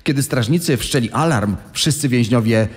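A man's voice: medium pitch, normal speed, natural voice. An actor playing a male gangster inmate reminiscing in a dramatised letter. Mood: animated reminiscing. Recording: long reverberant hall echo.

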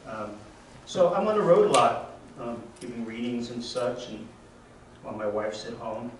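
An older man reading his poems aloud in a measured, wry way, with casual asides between them. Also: mid-range voice, slight background noise. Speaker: poet reading his work. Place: lecture room at a reading series. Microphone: handheld mic on a stand, close. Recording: echoey room, mic at a distance.

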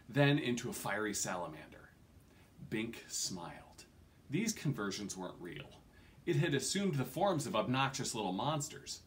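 Middle-aged man, very animated storyteller. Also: mid-range voice, a little hiss or hum. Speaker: reader. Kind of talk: expressive audiobook-style storytelling, read aloud. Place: small carpeted room at home.

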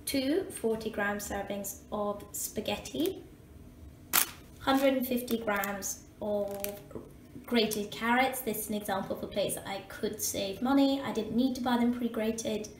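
A young woman with a Scottish accent, chatting upbeat to camera as she cooks in a small kitchen. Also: medium pitch. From Scotland. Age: young adult. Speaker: student vlogger. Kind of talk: casual cooking vlog. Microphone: camera mic, handheld and propped up. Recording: echoey kitchen with faint background noise.

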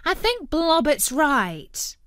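Storybook role: a woman playing a prim, well-mannered female dog, very high and slow. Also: very animated, a bit bright, dry recording, clean studio sound, full character voice. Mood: prim explaining. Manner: prim, careful, explaining. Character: polite, proper female dog.

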